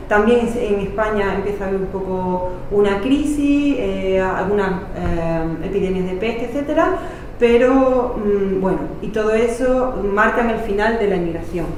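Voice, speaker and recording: A woman's voice: mid-range voice, darkish tone. A woman from Spain delivers an academic lecture in a very echoey room, heard from some distance off the mic. She explains step by step and leans on fillers and rhetorical questions. A faint hiss runs underneath. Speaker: history lecturer. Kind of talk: academic talk.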